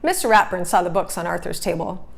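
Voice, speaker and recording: A woman narrating a children's storybook aloud in an expressive read-aloud style. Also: lowish voice, fast talker, vocal fry.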